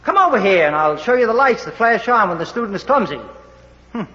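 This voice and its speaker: Wry radio police detective, higher-voiced. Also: male voice, very animated, fairly quick, bright, forceful tone, in a large hall, exaggerated voice. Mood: brisk, inquisitive. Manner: wry, clipped, hard-boiled. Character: wry, unflappable male New York police detective. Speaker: radio drama actor. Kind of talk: old-time radio crime drama acting. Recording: dull lo-fi vintage radio recording.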